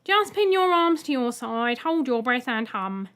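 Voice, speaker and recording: A woman's voice: sits high, very animated, measured pace, fairly bright tone, clear, resonant tone, heavily acted. A woman voicing a polite, blunt robot egg in an audiobook, speaking in a formal, literal way. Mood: brisk instructing. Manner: formal, literal, matter-of-fact. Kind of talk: audiobook character voice.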